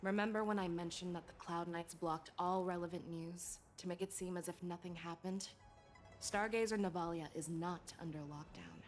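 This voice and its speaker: A woman voicing a stern, resolute female general in a video game, measured and authoritative. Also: on the low side, fairly even intonation, soft background music, close to an everyday voice. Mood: cool, assured. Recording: game ambience noise behind voice.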